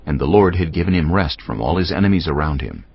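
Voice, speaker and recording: A man's voice: very deep voice, animated, quick pace, gravelly, breathy edge. A man reading scripted audio Bible narration as the narrator, with music behind him. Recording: muffled, band-limited audio.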